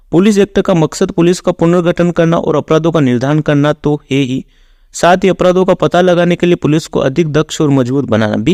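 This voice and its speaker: Higher-pitched man teaching exam questions. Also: a little mellow, dry recording, clean studio sound. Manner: instructional, reading out facts in a set routine. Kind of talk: reading quiz questions with explanations.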